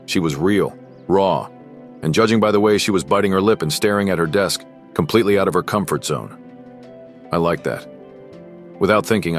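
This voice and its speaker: Man playing a cocky low-voiced teenage rich boy. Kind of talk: scripted first-person story narration with acted dialogue.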